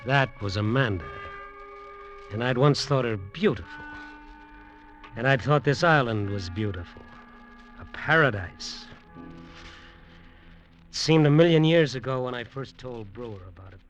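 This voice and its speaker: Hard-boiled radio-drama hustler. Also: male voice, medium pitch, lively intonation, unhurried, long pauses, fairly bright tone, dull audio. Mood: wry, brooding. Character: scheming, embittered hustler narrating his island venture. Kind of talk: 1940s-50s radio drama acting and first-person narration. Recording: old radio broadcast recording, music behind.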